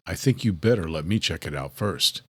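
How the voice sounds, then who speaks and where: A man's voice: deep voice, lively intonation, fairly quick, sharp tone, husky, dry recording, pitched below the performer's own voice, heavily acted. A man playing a protective, level-headed brother in an audiobook, speaking in a subdued, reassuring way. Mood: cautious, resigned. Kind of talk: audiobook character acting.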